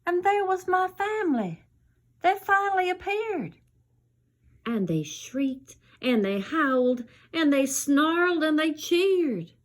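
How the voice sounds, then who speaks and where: A woman's voice: sits high, big pitch swings, slow, pitched above the performer's own voice, exaggerated voice. A woman voicing a little zombie ballerina girl, dramatic and eager, in a theatrical children's storybook reading. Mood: wistful, building.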